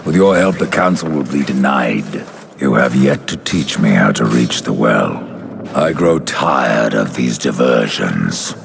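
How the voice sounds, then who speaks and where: An actor playing a grim, sardonic undead horseman in a video game, terse and dry with a gravelly voice. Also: a bass voice, expressive, unhurried, background noise, heavily acted. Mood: defiant. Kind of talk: dramatic video game acting. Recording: music in the background.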